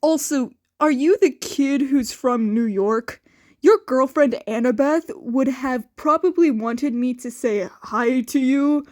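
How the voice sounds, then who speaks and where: A woman's voice: high voice, slow, darkish tone, dry recording. A woman voicing a sardonic, evasive young man in a dry, casual way for an audio story.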